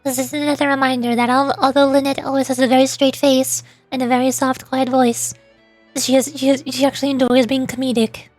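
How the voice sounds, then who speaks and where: A woman with a high voice streams a video game. She chats casually and playfully as she reacts and explains, with faint music underneath. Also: flat delivery, brisk, no room sound. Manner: playful, chatty. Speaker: VTuber streamer. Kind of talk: casual live game commentary.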